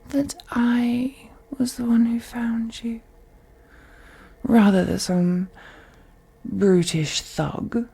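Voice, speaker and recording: A woman voicing a wealthy, possessive vampire in an audio roleplay, breathy and often whispering, with a teasing, haughty and condescending manner. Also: medium pitch, dark tone, exaggerated voice. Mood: possessive, seductive. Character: wealthy, seductive, possessive female vampire toying with captive. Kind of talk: scripted audio roleplay monologue.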